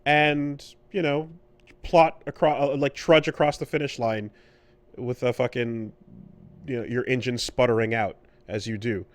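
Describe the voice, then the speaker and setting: A man's voice: mid-range voice, fairly even intonation, measured pace. A man co-hosting a podcast, giving casual conversational commentary in a wry, emphatic, sweary way. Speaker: podcast co-host.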